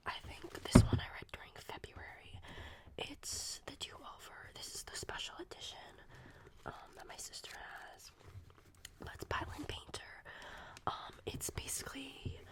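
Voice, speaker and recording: Sick young woman whispering ASMR. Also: high voice. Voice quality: soft unvoiced whisper. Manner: rambling, casual, many fillers. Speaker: ASMR creator. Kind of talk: whispered ASMR book review.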